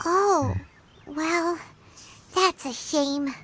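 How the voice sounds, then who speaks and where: A woman voicing a small, childlike fairy companion in a video game, earnest, chatty and easily worried, with a squeaky cartoonish delivery. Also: extremely slow, fairly bright tone, a little hiss or hum, heavily acted. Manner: squeaky, childlike, refers to self in third person. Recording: clear game music under the voice.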